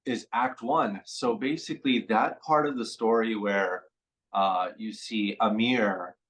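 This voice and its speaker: A man in his forties with a low-pitched voice speaks as a radio interview guest. He talks in a reflective, meandering way, pausing often with fillers as he gathers his thoughts. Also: fairly even intonation, fairly bright tone, rough recording. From San Francisco Bay Area. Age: 40s.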